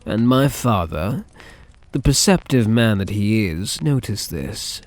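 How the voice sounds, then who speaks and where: A man playing a soft, lovesick young mafia heir in an ASMR roleplay, speaking in a hesitant, tender, halting way. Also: fairly low pitch, expressive, faint music behind. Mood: tender, nervous confession.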